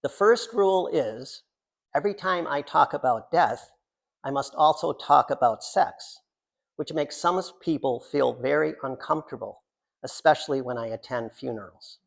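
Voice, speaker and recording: An older American man, a poet introducing his work at a reading in an informal, halting, digressive way with wry, self-deprecating humour. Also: mid-range voice, vocal fry. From Central New York, United States. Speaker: poet reading from own book. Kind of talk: informal author talk at a poetry reading.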